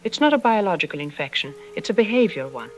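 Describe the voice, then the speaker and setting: A woman playing a self-assured psychologist on a radio drama interview, explaining in an articulate, didactic and calmly dismissive way. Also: medium pitch, fast, low-quality recording. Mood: insistent. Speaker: expert interview guest. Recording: music playing under the voice. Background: background music.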